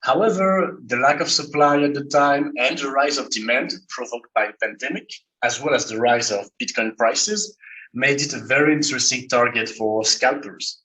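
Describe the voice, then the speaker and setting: A man's voice: mid-range voice, normal speed, bright, forceful tone, low-quality recording. A man with a French accent giving a technical conference presentation, explaining his slides plainly with the odd "uh". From France. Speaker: conference speaker. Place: conference talk, remote stream.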